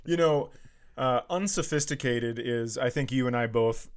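A man's voice: mid-range voice, normal speed, a little hiss or hum. A man who co-hosts a comedy podcast, telling stories and bantering casually in a crude, profane, joking way. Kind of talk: casual comic storytelling and banter.

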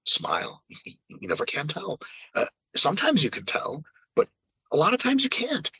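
Animated, swearing man in his thirties. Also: fairly high pitch, moderate pace, breathy edge, no echo, rough recording. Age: 30s. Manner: animated, profane, emphatic ranting. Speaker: fitness podcast regular. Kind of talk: casual podcast chat.

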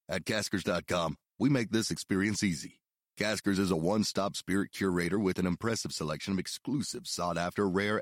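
Very deep-voiced man reading an ad. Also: no echo, clean studio sound. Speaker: ad announcer. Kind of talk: scripted ad read.

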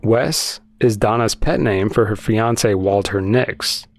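A man's voice: on the low side, fairly even intonation, takes it slowly. A man hosting a podcast, telling a true-crime story in a conversational narration style. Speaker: podcast host.

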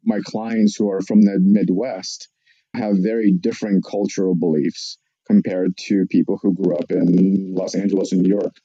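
A man from Russia, a psychologist giving measured, explanatory answers on a podcast. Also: low voice, level tone, mellow, no echo, lo-fi sound. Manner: measured, explanatory, lists points.

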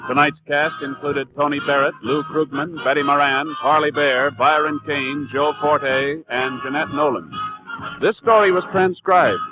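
A man's voice: a little high, a little slow. A man announcing formally in the polished style of a 1950s radio program. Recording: muffled 1950s radio broadcast. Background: loud music.

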